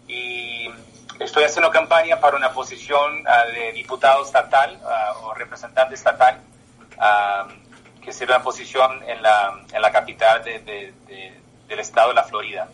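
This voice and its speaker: A man in his twenties whose first language is Portuguese, answering interview questions in halting speech with many pauses. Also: mid-range voice, steady tone, a bit bright, rough recording. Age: 20s. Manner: halting, with many filler sounds. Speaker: political candidate. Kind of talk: interview by phone.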